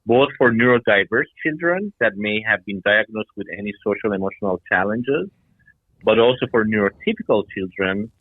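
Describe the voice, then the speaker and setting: Middle-aged male founder as interview guest, lower-pitched voice, narrow telephone-grade sound, explaining his work. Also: normal speed, no echo. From Iran and Denmark. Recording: tinny phone-like line.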